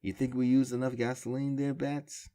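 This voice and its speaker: A man's voice: mid-range voice, level tone, moderate pace, warm tone, pitched above the performer's own voice, lightly acted. A man playing a brash, cocky young district attorney in an audio drama, sardonic and flippant. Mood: smug.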